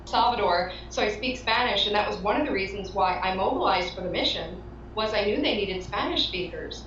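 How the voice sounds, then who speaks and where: A woman's voice: mid-range voice, brisk, a bit bright. Echoey, distant, earnest woman. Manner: earnest, emphatic. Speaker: interview guest. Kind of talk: earnest spoken interview testimony. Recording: echoey, distant, dull, faintly noisy.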